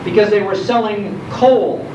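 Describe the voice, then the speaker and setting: Echoey, high-pitched older American man storytelling. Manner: theatrical storyteller with deliberate emphasis. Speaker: guest speaker, radio nostalgia host. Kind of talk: live talk telling nostalgic stories to an audience. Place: indoor program venue before an audience. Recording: echoey, noisy, muffled live hall recording, distant microphone.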